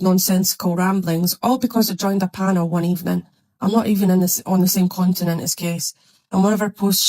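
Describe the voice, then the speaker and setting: Indignant woman narrating in a flat tone. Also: mid-range voice, dry recording, poor audio. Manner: indignant, condemning. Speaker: commentary narrator. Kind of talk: scripted narration over screenshots.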